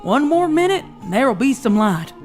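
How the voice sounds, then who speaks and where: Brief: a woman doing a drawling older pony sister, sly and teasing, pitch swinging wide. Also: sing-song, normal speed, darkish tone, faint music behind. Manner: low rural drawl, teasing. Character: mysterious older farm mare sister, teasing.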